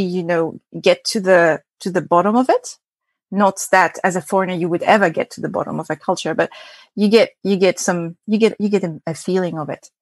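A woman's voice: fairly low pitch, no echo. Young french woman chatting in an interview, lots of restarts and little laughs, dead dry mic sound. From France. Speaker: guest data scientist. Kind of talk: casual interview.

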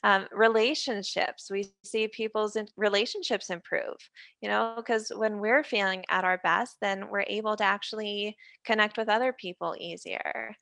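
Bright, enthusiastic woman expert guest. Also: mid-range voice, steady tone, moderate pace, creaky, no room sound. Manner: enthusiastic, laughs easily, uses fillers like "you know". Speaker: guest brain health expert. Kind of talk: explanatory interview talk.

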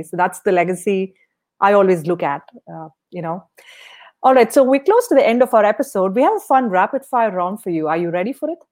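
A woman's voice: medium pitch, darkish tone. A woman hosting a podcast interview, asking questions in a friendly, encouraging way. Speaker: podcast host.

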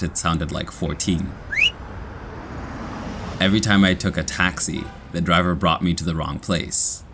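A young man with a Kenyan accent, reading a personal story slowly and clearly for English learners. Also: deep voice, noisy background. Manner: slow, clear, measured reading.

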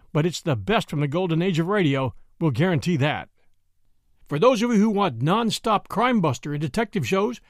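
Male podcast host, very lively inflection, dry close sound, scripted welcome. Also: high-pitched, dry recording.

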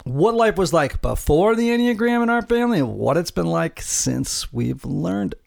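Upbeat middle-aged male podcast host, gravelly, dry close mic. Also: high voice, very animated, normal speed, no echo. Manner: upbeat, joking, rambling with fillers. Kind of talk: casual family podcast chat.

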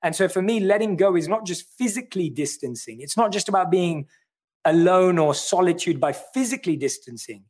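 A man with a London accent who talks through his ideas in an earnest, reflective way. His voice sits a little high for a man. He speaks as an author in a livestream chat. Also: lively intonation, brisk, darkish tone. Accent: London English. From London, England. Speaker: author and guest. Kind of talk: livestream conversation.

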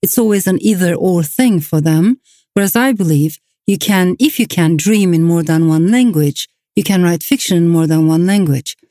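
A middle-aged woman with a Turkish accent, a novelist answering interview questions in a thoughtful, measured way with soft fillers. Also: fairly low pitch, no room sound, clean studio sound. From Turkey. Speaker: novelist guest. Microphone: remote line from home.

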